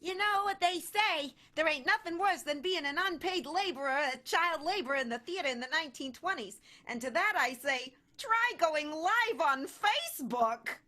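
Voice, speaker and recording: A scrappy kid from a 1920s theater, piercingly bright and high. The scamp is plucky and folksy, in radio comedy style over faint music. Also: female voice, lively intonation, moderate pace, few pauses. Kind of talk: improvised radio comedy acting.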